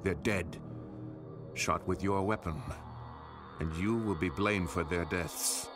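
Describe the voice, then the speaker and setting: Older man, low voice, grave mentor, deliberate and ominous, video game drama, loud music behind. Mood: grave, calm. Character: older grave male family friend, urgent mentor.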